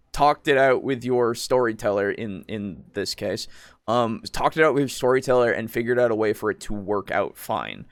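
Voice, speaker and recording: A man's voice: medium pitch, a bit bright, no echo, clean studio sound. A man co-hosting a casual tabletop roleplaying discussion, explaining things in a rambling way with some swearing. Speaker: podcast co-host.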